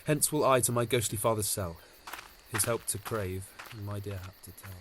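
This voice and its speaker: A man's voice: fairly low pitch, normal speed, a little hiss or hum, natural voice. A man acting an ardent young romantic lover in a Shakespearean audio drama, with theatrical verse delivery, recorded at home on a phone. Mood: dreamy, tender.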